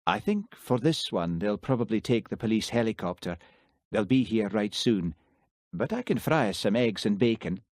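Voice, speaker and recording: A man's voice: lowish voice, animated, moderate pace, a little mellow, no echo, pitched above the performer's own voice, lightly acted. Man reading grumpy dry village cop, wry, mutters. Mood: resigned. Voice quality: dry, grumbling. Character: dour Highland village policeman, wry and gloomy. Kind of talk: audiobook character voice.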